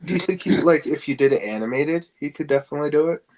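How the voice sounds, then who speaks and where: A man's voice: a little high, animated, quick pace, darkish tone. A man co-hosting a podcast, chatting informally and a little hesitantly about pop culture. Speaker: podcast co-host. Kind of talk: casual chat about games and film casting.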